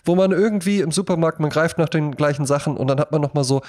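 A man's voice: fairly high pitch, fast talker, a little mellow. Casually chatting man, rambling storyteller. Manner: rambling, anecdotal, acts out little imagined quotes. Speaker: podcast co-host. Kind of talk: casual conversational podcast chat.

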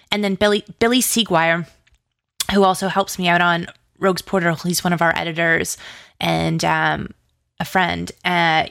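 A woman's voice: on the low side, vocal fry, a bit bright, no room sound, studio quality. A woman chatting casually as an interview guest, enthusiastic and gushing, peppering her sentences with "like". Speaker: interview guest, audio drama writer and producer.

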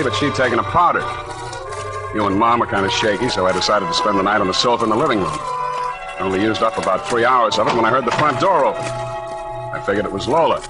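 Hard-boiled American private eye played by a man, deadpan and slangy, 1940s radio drama with prominent music underneath. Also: fairly low pitch, lightly acted. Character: wry hard-boiled male private detective. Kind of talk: 1940s radio acting, first-person narration.

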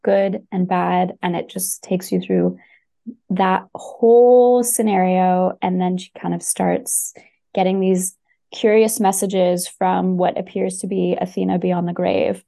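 A woman's voice: lowish voice, little pitch movement, takes it slowly, vocal fry, warm tone. A woman chatting casually and enthusiastically on a podcast, with many fillers and restarts. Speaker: podcast guest recommending books. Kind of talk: casual chat.

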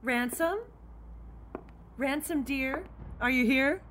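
An actress in an audio drama playing a poised, condescending innkeeper with honeyed politeness and a controlled undercurrent of menace. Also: female voice, high voice, slow pace, noisy background. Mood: falsely sweet. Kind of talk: naturalistic audio drama acting.

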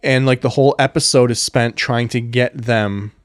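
A man's voice: mid-range voice, steady tone, moderate pace, no room sound. Young man, podcast co-host, rambling, filler-heavy talk, laughs a lot, dry close sound. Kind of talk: casual podcast chat.

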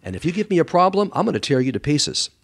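A man's voice: on the high side, big pitch swings, fast talker, vocal fry. Emphatic, blunt man in an interview. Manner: emphatic, blunt, dramatizes others' words. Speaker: guest expert doctor and author on a radio show. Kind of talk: conversational interview answers.

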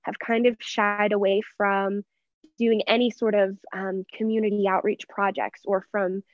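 A young adult American woman talks in an earnest, reflective way, as a guest sharing personal experience. Her voice sits at a middle pitch. The sound is dry, with a slightly lo-fi dullness. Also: level tone, a little slow, no echo. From Texas, United States. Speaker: interview guest, church member.

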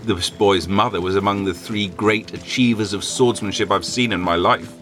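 A haughty old swordsman drawls his dismissive verdicts in a lowish voice, as a man reading the story puts him on. He sounds grumpy and sure of himself. Faint music sits behind. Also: fairly even intonation, normal speed, a bit bright, lightly acted. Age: older.

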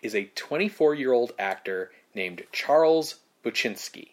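Male co-host, mid-pitched, relaxed delivery of prepared film trivia. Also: measured pace. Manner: reads prepared facts, relaxed. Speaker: podcast co-host. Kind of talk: casual film history chat.

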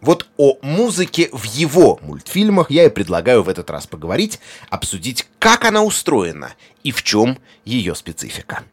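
A man's voice: mid-range voice, wildly swooping pitch, moderate pace, few pauses. A man presenting a scripted explainer in an erudite but conversational way, addressing the listener directly with rhetorical asides. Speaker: host and narrator of a music explainer.

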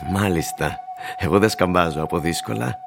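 An actor playing an ageing, plain-spoken shoe shiner in a radio play, courteous and gravely cautioning, with a husky, gravelly voice. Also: male voice, low-pitched, noisy background. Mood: earnest urging. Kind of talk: radio drama acting.

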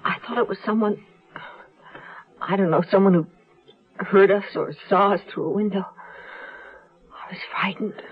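An actress playing a guarded wife calmly covering up a killing, in a husky voice in a radio drama. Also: female voice, mid-range voice, moderate pace, long pauses, close to an everyday voice. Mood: frightened, hushed. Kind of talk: 1970s radio drama acting. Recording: dull lo-fi radio drama recording.

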